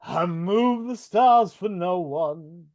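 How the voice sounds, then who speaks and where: A woman singing as the male Goblin King, a proud ruler, slowly and intensely in a live reading over a video call. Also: fairly low pitch, lively intonation, a little mellow. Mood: yearning. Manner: slow, intense sung lines. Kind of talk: singing in a live read-through. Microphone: computer mic on a video call.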